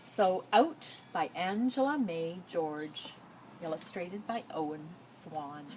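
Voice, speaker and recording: Woman reading aloud, lower voice, noisy recording. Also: slow pace. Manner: explains pictures and words to young listeners. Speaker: picture-book reader. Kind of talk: read-aloud storytelling with explanatory asides.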